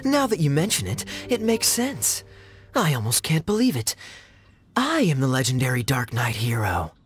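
A man's voice: medium pitch, wildly swooping pitch, normal speed. A man voicing a suave, teasing young cavalry captain in a video game, playful and theatrical. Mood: mock-amazed. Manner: theatrical, playful, smooth-talking. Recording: game music behind.

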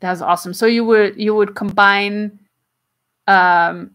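A woman's voice: mid-range voice, fairly even intonation, a bit bright, clean tone. Chatty woman hosting a home livestream. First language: not English. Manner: chatty, frequent "um"s and quick laughs, gestures while explaining. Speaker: comic artist and livestream host. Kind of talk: casual livestream Q&A. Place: small home room with bookshelves, indoors. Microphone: webcam at desk distance.

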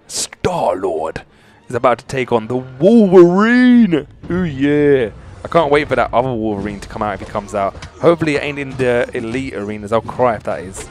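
A young man giving excited, rambling commentary over a mobile fighting game as the channel host. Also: medium pitch. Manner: excitable, rambling, loud exclamations.

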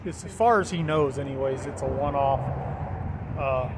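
A man's voice: medium pitch, moderate pace. An American man giving an informal, enthusiastic walk-around commentary outdoors, using plenty of slang. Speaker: vlogger filming a CB radio meetup. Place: outdoors in a gravel parking lot. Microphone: handheld camera or phone mic. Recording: clear outdoor background noise.

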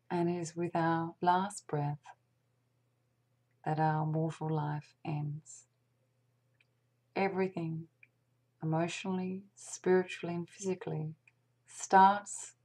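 A woman leading a guided meditation in a hushed, breathy, half-whispered voice, speaking gently and deliberately with long pauses. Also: on the low side.

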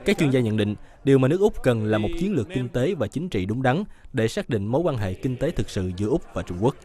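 Fast-reading male news narrator. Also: mid-range voice, soft background music. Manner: brisk, even report reading.

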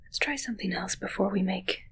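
A young woman playing a mischievous, fussy witch in a breathy, teasing whispered ASMR roleplay. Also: mid-range voice, fairly quick, a bit bright. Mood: fussy, disdainful. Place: small indoor set with curtains. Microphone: close ASMR microphone.

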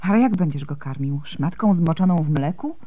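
An actress playing a sick, feverish young mother, gentle, soft and weak, in a Polish radio drama. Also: sits low, animated, normal speed, phone-quality sound. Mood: weak, tender.

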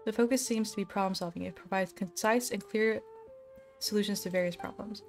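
A woman's voice: medium pitch, steady tone, a little fast, darkish tone, faint music behind. Sassy young woman streaming. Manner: offhand, sassy. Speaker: game streamer. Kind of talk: casual chat, live game commentary.

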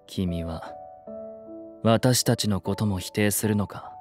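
A stern young idol student, speaking in a low voice. He is formal, cool and a little haughty, in polished audio drama style with music underneath. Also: takes it slowly. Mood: indignant. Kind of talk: Japanese audio drama acting.

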